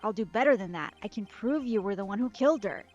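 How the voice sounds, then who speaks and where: A woman's voice: mid-range voice, expressive, quick pace, darkish tone, close to an everyday voice. Woman reading a determined young defense lawyer. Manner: determined, declaiming, reading aloud. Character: earnest young male defense attorney. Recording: slightly muffled with game music.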